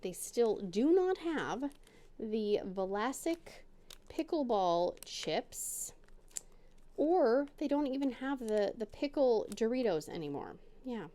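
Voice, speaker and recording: Middle-aged woman, casual crafting chatter at home, warm mellow tone, slow easy delivery. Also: fairly high pitch, very animated. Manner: rambling, self-deprecating, chatty. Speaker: crafting channel host. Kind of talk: casual chat while crafting. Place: home dining room.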